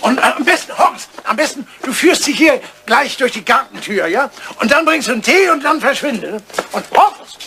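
An older man, an actor playing an excitable, wistful old lord in love, theatrical and impulsive, in a radio comedy drama. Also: very high-pitched, normal speed, vocal fry, few pauses, heavily acted. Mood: flustered, excited. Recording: old radio play recording, faint noise.

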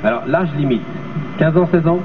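Quick middle-aged tv host guy, handheld mic, somewhat high voice, sounds far off and hissy. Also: animated. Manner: brisk, repeats the guest's answers. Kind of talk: TV variety show interview. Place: TV studio stage with dancers. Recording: tinny, noisy old TV broadcast, off-mic.

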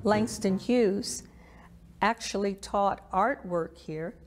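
A woman's voice: mid-range voice, over music. Woman explaining history in an interview. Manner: explanatory, deliberate. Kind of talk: documentary interview.

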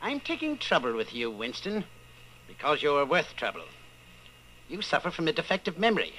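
An actor playing a coldly patient, menacing party inquisitor in old-time radio style, measured, condescending and commanding. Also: male voice, on the high side, huge pitch swings, sharp tone, a little hiss or hum, heavily acted, low-quality recording. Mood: controlled menace.

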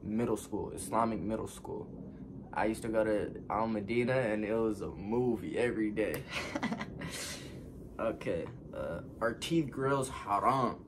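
Twenties male vlogger, low-pitched, casual and unhurried as he fields questions from home. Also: background noise. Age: 20s. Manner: relaxed, reads questions aloud then answers offhand. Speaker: content creator answering fan questions. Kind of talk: casual Q&A vlog. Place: living room, seated on a couch.

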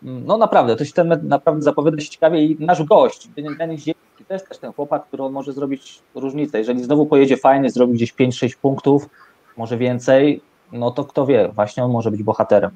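A young man hosts a casual sports talk from a room at home over a video call. His voice is dark and relaxed, at a medium pitch. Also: a little fast. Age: young adult. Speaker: host of a sports talk show. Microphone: video call microphone.

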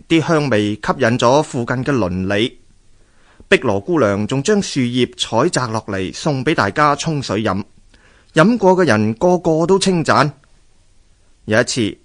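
A man with a Hong Kong accent narrating a radio drama as a scripted story. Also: mid-range voice.

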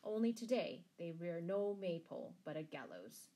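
A woman's voice: lowish voice, very animated, normal speed, dark tone. A woman voicing a wry old male pilgrim in a storybook, speaking in rustic dialect phrasing. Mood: regretful.

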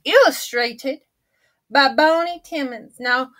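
Woman playing old grandma, folksy, drawling, unhurried, high bright voice, storybook read-aloud. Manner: chatty and theatrical, gasping and exclaiming.

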